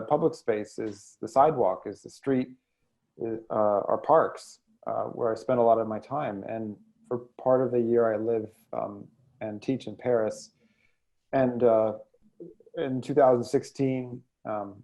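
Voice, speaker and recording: A man answering as an interview guest in a thoughtful, halting, measured way. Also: medium pitch, gravelly, warm tone. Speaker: guest, editor and poet. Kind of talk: reflective interview conversation.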